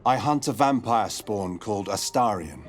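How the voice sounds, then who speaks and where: An actor playing a courteous but wary monster hunter in a video game, speaking in a measured, formal and ominous way. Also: male voice, lowish voice, lively intonation, faint music behind, close to an everyday voice. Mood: solemn, menacing. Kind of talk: dramatic video game dialogue acting.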